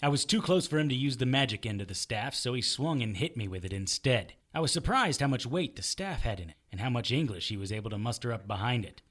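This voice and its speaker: A man's voice: mid-range voice, animated, quick pace, hardly any pauses, a bit bright. A man playing a tough, sardonic fighter who tells his battles in first person in a noir audio drama. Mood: grim, intense. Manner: sardonic, gritty. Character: tough, wry immortal male fighter narrating his own battles.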